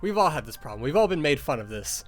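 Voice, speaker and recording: A man's voice: fairly high pitch, sing-song, fast talker, soft background music. A man voicing a sarcastic, grumpy, teasing cat who hosts a casual in-character fan Q&A with blunt asides. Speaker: Q&A host.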